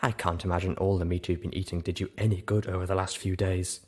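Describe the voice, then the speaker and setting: A man's voice: low voice, flat delivery, quick pace, darkish tone. A refined lady unicorn, put on by a male reader speaks with prim politeness. She is silky and disapproving, chiding her companions in an audiobook adventure.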